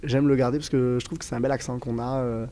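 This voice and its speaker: A young man in his twenties with a southern French accent, answering questions in a relaxed interview. His voice is mellow and mid-pitched, and he talks haltingly, with frequent fillers and restarts. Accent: southern French, Toulouse area. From southern France. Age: 20s. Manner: hesitant, many fillers and restarts. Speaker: guest. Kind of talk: casual interview conversation.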